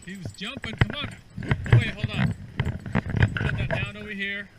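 Middle-aged male, high-pitched, casual vlog style, encouraging short calls, recorded from a distance outdoors under heavy noise. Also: normal speed. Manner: encouraging, excited coaching in short repeated phrases. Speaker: father coaching his son while fishing. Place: outdoors on a reservoir bank. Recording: outdoors, distant mic, loud background noise.

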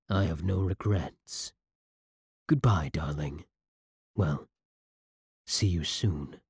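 A man acts out a possessive villain from the future in an intimate audio roleplay. He speaks slowly and deliberately in a lowish, husky voice, commanding yet lovesick, recorded close and dry. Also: a little mellow, no echo, full character voice. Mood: resigned, tender. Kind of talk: scripted ASMR roleplay acting.

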